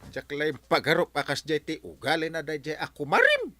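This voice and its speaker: A man with an Ilocano accent playing a touchy, blustering barangay captain in a radio comedy drama, exclamatory and expressive. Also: a little high, normal speed, dry recording, soft background music, exaggerated voice. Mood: indignant, flustered.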